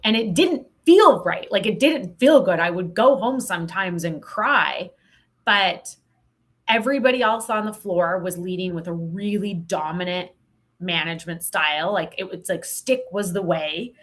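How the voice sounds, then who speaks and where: A woman's voice: fairly low pitch, unhurried, fairly bright tone. A woman speaking as a podcast guest, telling her own story in a frank, reflective, conversational way and often saying "like". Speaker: podcast guest, sales coach.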